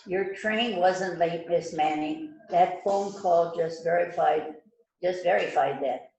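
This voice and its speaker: A woman playing a smug, stern police detective in an amateur radio-style mystery, speaking deliberately and knowingly. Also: fairly low pitch, creaky, a little mellow, a little hiss or hum. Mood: accusing. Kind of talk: amateur readers theater rehearsal. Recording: roomy, echoing room sound.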